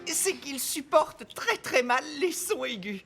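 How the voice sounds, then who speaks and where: A woman's voice: high voice, big pitch swings, unhurried, exaggerated voice. A woman playing a bookish child know-it-all in a French children's cartoon, precise and a little superior. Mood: explanatory. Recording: loud music behind the voice.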